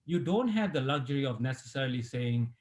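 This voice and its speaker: A middle-aged man from Malaysia, a sports medicine doctor, recounting his life story as an interview guest in a relaxed way with many fillers and restarts. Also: mid-range voice, a little fast.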